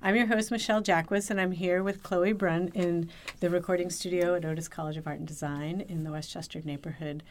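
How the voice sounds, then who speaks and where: A woman with a fairly low voice hosts an interview podcast. She presents the show and asks her guest questions. She is recorded in a studio. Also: fairly even intonation, brisk, few pauses, slight background noise.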